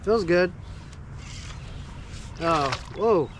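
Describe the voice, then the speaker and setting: A man's voice: high voice, drawn-out words, noisy background. A man with a groggy voice, chatting casually as he fishes from a kayak out on the water. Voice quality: groggy morning voice. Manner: relaxed, upbeat, chatty. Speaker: fishing vlogger. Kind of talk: casual running commentary while fishing. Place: outdoors on a kayak at sea.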